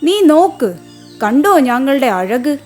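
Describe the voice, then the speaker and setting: A woman's voice: sits high, very animated, slow pace, darkish tone, soft background music, heavily acted. A woman voicing a vain, scornful female fish in a children's cartoon, haughty and mocking. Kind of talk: children's cartoon story voice acting.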